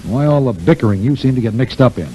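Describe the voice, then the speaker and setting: A man acting a fatherly theatre boss in a 1940s radio drama. His very dark, muted tone sits at medium pitch, firm and businesslike, over faint old-recording noise. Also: lively intonation, quick pace, lightly acted, poor audio. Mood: firm, reproving. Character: Mister Hunt, firm, businesslike, fatherly male show boss.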